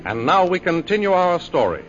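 A man's voice: high-pitched, sing-song, moderate pace, over loud music. A man announcing and narrating a 1930s radio adventure serial in an emphatic, declamatory way. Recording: muffled 1930s radio broadcast.

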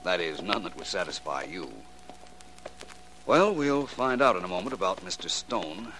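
An actor playing a cool, urbane gentleman detective in a 1940s radio drama, speaking in a dry, precise, faintly ironic way. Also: low voice, very animated, moderate pace, background noise.

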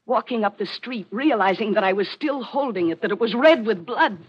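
A woman's voice: fairly high pitch, lively intonation, brisk, a little hiss or hum, close to an everyday voice. A woman acting in a 1940s radio mystery as a haunted, fatalistic young American woman, intense and melodramatic. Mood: haunted, despairing. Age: young adult. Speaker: radio actor. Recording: muffled old radio recording.

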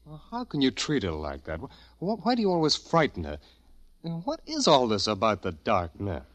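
A man playing an earnest, anxious, kindly gentleman who narrates his diary in a 1940s radio drama. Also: mid-range voice, huge pitch swings, no room sound. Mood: indignant curiosity. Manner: measured diary narration, stammers when agitated.

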